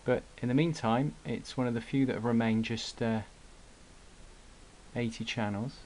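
A man giving an unscripted hobbyist product demonstration in a halting way, with frequent pauses, recorded indoors on a camera mic. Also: medium pitch, steady tone, a little mellow. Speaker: radio hobbyist presenting gear. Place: indoor room at a radio desk. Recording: clear background noise, clicks.

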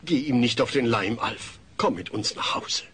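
A man's voice: mid-range voice, lively intonation, moderate pace, fairly bright tone, slightly rough. A man playing an earnest, kindly middle-aged father in a German audio drama. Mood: earnest pleading.

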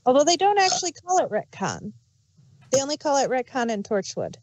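A woman's voice: high-pitched, very animated, brisk, darkish tone, a little hiss or hum. A woman reviewing an audio drama in casual podcast chat.

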